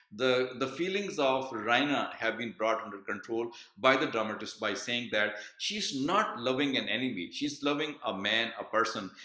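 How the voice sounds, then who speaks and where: A man's voice: medium pitch, bright, forceful tone. A male literature professor explaining a play in a lecture, with frequent fillers and restarts. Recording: echoey room.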